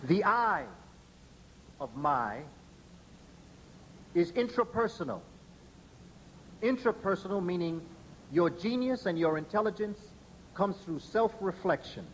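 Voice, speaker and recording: A man delivering a public lecture, emphatic and deliberate, slowing down to spell out letters one by one. His voice sits a little high for a man. A faint hiss of noise runs under the recording. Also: expressive, rough recording.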